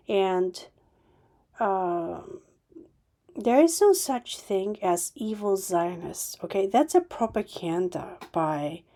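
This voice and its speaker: Woman podcast host, unscripted, slow and halting delivery with emphatic outbursts. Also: mid-range voice, darkish tone. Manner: halting, many restarts and fillers, emphatic bursts. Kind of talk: unscripted solo podcast monologue.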